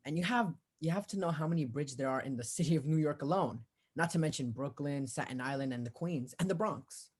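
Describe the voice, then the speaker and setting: Woman acting a very low, husky police officer. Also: a little fast, few pauses, a little mellow. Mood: skeptical. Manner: offhand, patronizing, brushing the caller off. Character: weary, placating, dismissive police officer taking a call. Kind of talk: 1940s radio drama acting.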